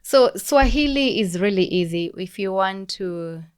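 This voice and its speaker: A young woman with a Kenyan accent, a podcast guest explaining things in a relaxed, teacherly way with frequent restarts. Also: mid-range voice, a little slow, clean tone. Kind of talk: casual conversational explaining.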